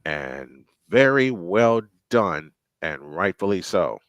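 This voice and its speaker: Male play-by-play caller, chatty between plays, crisp and dry. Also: sits low, sing-song, takes it slowly, a bit bright, no echo. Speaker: play-by-play commentator. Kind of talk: live football commentary.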